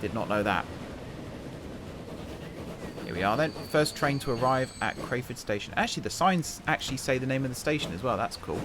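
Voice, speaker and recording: A man hosting a gaming channel. He chats casually over his gameplay and thinks aloud with self-deprecating humour, and his voice is croaky from a long day of talking. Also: mid-range voice, lively intonation, brisk, pauses often, noisy background. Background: background music.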